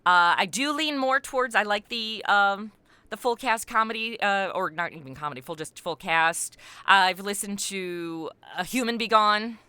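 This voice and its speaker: A middle-aged woman chatting casually as an interview guest, animated and self-deprecating, sometimes acting out remarks she quotes. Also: medium pitch, normal speed, clear, resonant tone, dry recording. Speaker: podcast creator and voice actor, interview guest.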